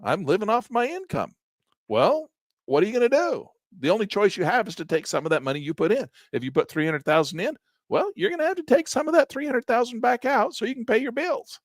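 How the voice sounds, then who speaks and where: An older man teaching options trading in a live session, animated and exasperated, breaking into mocking high voices and low grumbles. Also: fairly quick, no echo, clean studio sound. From Southern Utah. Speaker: trading educator. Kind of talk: live-stream trading lesson, off-the-cuff rant.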